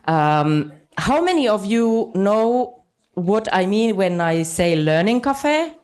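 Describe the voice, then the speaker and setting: Woman conference moderator, deliberate pace, loud. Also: on the low side. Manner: loud, addresses audience directly. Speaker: congress moderator. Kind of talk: live plenary moderation. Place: large conference hall.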